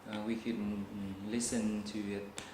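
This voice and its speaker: A young man with a Vietnamese accent, a student taking a practice speaking test, answering slowly with many hesitations and restarts. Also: fairly low pitch, little pitch movement. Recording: echoey room, faint noise.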